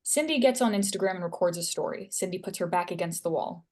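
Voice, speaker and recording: A woman's voice: fairly low pitch, animated, fast, darkish tone. A woman reading stage directions as scripted narration.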